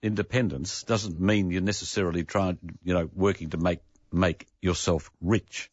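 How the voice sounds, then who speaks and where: Low-voiced, deliberate male radio interviewer. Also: fairly even intonation, husky, no room sound. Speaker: radio talk show host. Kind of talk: probing conversational interview. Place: radio studio. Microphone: close studio microphone. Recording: slightly dull radio studio audio.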